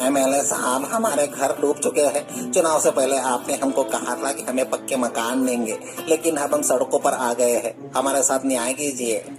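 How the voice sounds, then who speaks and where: A man's voice: mid-range voice, fairly even intonation, moderate pace, hardly any pauses, natural voice. A man voicing an aggrieved, indignant poor villager in a cartoon story. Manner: aggrieved, declaiming. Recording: music bed under the voice.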